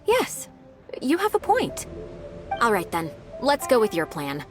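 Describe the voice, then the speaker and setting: An earnest young secretary in a game runs through duties in a brisk, businesslike way. She is a little flustered, and her pitch bounces lively as she talks. Game music plays clearly behind her. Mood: dutiful. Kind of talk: game voice acting.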